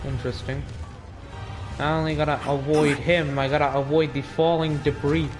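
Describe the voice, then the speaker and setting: A teenage male hosts a gameplay video in a casual, self-directed mutter. He comments loosely and swears mildly. Game music is clearly audible behind his voice. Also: a little high, level tone, moderate pace. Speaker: gaming video host.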